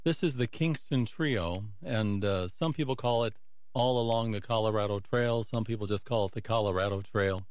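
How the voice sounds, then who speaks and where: A man's voice: on the low side, moderate pace, no echo. Dry-sounding man hosting a music radio show. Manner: informal, chatty record-collector asides. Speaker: radio host. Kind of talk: casual music radio show presenting between records.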